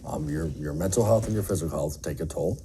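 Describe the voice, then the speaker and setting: A man's voice: very deep voice, fast. A middle-aged man giving candid, earnest interview answers in an emphatic, plain-spoken way, as an air traffic controller. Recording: faint background noise.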